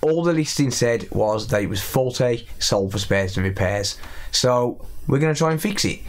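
Young guy slightly raspy, rambling chatty while fixing stuff in his workshop, mid-pitch. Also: expressive, normal speed. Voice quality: slightly raspy voice after illness. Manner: chatty, self-deprecating, thinks aloud while working. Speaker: electronics repair YouTuber. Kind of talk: casual repair vlog. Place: cluttered repair workshop, indoors.

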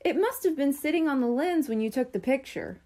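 A woman's voice: high voice, animated, brisk, mellow, voice pushed up. A woman voicing a brainy, condescending young boy in a storybook, explaining things precisely and patiently. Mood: patient lecturing. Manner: precise, explanatory, know-it-all.

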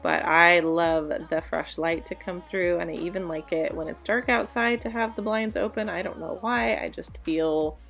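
A woman's voice: fairly low pitch, steady tone, moderate pace, vocal fry, few pauses. A woman in her thirties chatting casually in a voice-over for her home cleaning vlog, confiding and laughing now and then. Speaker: cleaning vlogger. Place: home bedroom. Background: soft background music.

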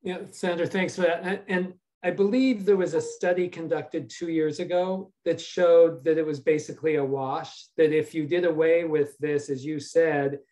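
An American man in his sixties answering public questions on a video call as an official, in a halting, measured way. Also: fairly high pitch, steady tone, a little mellow. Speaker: state ferries official at a town hall.

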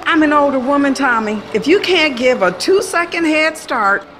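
Excitable, scheming aunt for audio drama played by a woman; high voice over clear background noise. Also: moderate pace. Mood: playful, excited.

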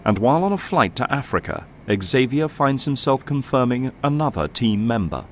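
A man's voice: mid-range voice, very animated, moderate pace, slight background noise. A man giving dramatic scripted narration as the narrator of a story.

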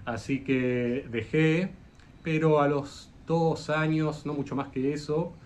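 A man's voice: a little high, steady tone, takes it slowly, a little mellow, a little hiss or hum. A man with an Argentine accent, a luthier guest on a video call, telling long stories with lots of hesitant "eh" fillers. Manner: hesitant, frequent "eh" fillers, rambling anecdotes. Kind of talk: casual interview storytelling.

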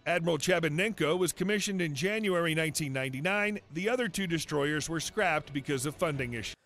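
A man narrating a scripted documentary countdown in an even, flat read. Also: fairly high pitch, fairly quick. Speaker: video narrator.

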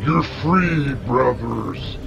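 Slow, gravelly monster, voice-changed. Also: male voice, mid-range voice, highs cut off, heavily acted. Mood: commanding, menacing. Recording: played-back game session under loud eerie music.

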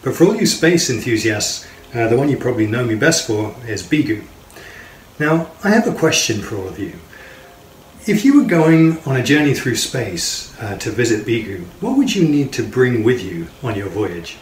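A man's voice: medium pitch, big pitch swings, normal speed. A man in his thirties, a children's author, giving an introduction addressed to young pupils. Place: small indoor room with bookshelves. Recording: echoey room, faint background noise.

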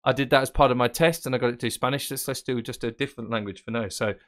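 A man presenting a screen-recorded software tutorial, explaining casually and thinking aloud with fillers. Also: mid-range voice, fairly even intonation, fast talker. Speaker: tech presenter.

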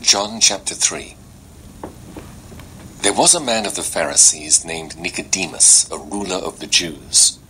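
A man giving a solemn, measured and dramatic scripture reading, played back through a small portable speaker. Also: deep voice, lively intonation, bright, forceful tone. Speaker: audiobook narrator. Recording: small device speaker playback, noisy room.

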